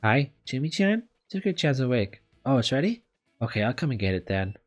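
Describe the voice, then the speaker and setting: A man voicing a caring, casual schoolgirl in a visual novel, breezy and gently bossy, with music behind. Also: medium pitch, sing-song, a little fast, pitched above the performer's own voice, natural voice. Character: caring, casual schoolgirl looking after her sick girlfriend. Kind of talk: visual novel read aloud. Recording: background music under the voice.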